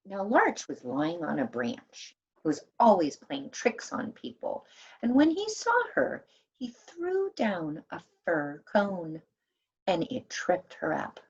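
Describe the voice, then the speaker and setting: A woman reading a children's story aloud in an expressive, deliberate manner with dramatic emphasis. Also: lowish voice, husky. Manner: animated, deliberate, dramatic emphasis for young listeners. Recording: dull, lo-fi sound.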